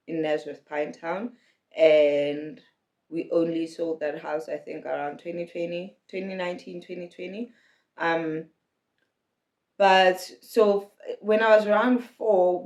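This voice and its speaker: A man's voice: a little high, a little mellow, echoey room. A young South African man, hosting his own podcast, telling his life story in a hesitant way with many restarts and fillers. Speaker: podcast host. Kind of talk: personal storytelling monologue.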